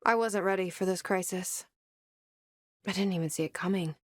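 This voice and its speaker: A woman's voice: lowish voice, normal speed, no echo, studio quality, natural voice. A woman playing a young, determined bureau director in a video game, wry, intent and self-questioning. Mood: resolute, reflective. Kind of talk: video game dialogue and inner monologue.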